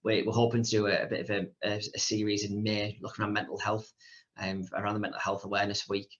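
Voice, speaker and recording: A man hosting a podcast and interviewing a guest, brisk and often repeating words. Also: on the low side, monotone, a bit bright, lo-fi sound. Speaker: podcast host. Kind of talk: casual interview chat.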